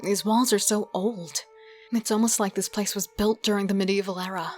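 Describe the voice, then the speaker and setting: A woman's voice: mid-range voice, fairly even intonation, moderate pace, a little mellow, close to an everyday voice. Actress as a tense female killer, over music. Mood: hushed, uneasy. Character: Eve, steely foul-mouthed female killer and thief narrating her ordeal. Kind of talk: first-person horror audio drama narration and acting.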